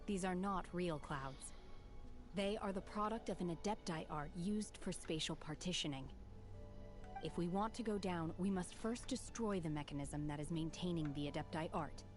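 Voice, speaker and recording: A woman voicing a calm, serious female warrior in a video game, speaking in a composed, formal way. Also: fairly low pitch, normal speed, lightly acted. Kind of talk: game dialogue. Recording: game audio with music.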